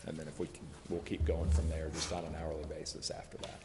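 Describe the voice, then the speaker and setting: A man's voice: very deep voice, completely flat delivery, fast. A man speaking as a lawyer advising a public meeting, explaining informally in a plain-spoken, discursive, self-deprecating way. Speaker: lawyer advising a city council. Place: indoor council chamber. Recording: echoey hall with long reverb, background noise.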